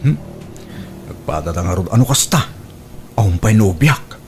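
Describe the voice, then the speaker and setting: An actor with an Ilocano accent playing a smitten, eager young bachelor wooing in an exaggerated comic radio-drama style. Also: male voice, deep voice, lively intonation, normal speed, noisy background.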